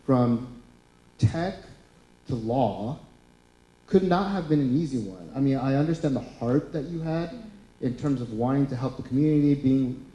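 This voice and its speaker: A man in his thirties moderating a panel discussion, posing questions in an easy, friendly, conversational way. His voice is deeply mellow and dark. The room adds a roomy echo. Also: lively intonation, moderate pace, dull audio. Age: 30s. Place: lecture hall.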